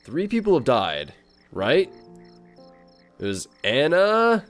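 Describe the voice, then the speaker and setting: A man's voice: fairly high pitch, huge pitch swings, slow pace, faint music behind. A man giving casual, rambling video game commentary in a wry, self-deprecating manner. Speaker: video game let's player.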